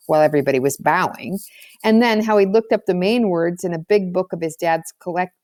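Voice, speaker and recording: A woman's voice: on the low side, a little mellow, no echo. A woman reading a children's novel aloud as scripted narration. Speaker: audiobook reader.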